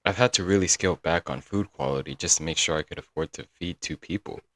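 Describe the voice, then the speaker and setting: A man's voice: deep voice, fast talker, a bit bright. A man playing a doting, apologetic young yandere kidnapper in a comedic roleplay audio drama, coaxing and rambling intimately to the listener. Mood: sheepish, affectionate. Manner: coaxing, rambling, pet names, nervous chuckles.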